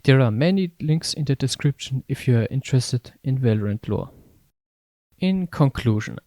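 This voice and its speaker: A man's voice: medium pitch, a little mellow, no room sound, clean studio sound. A man reading scripted narration as a video essayist reviewing games.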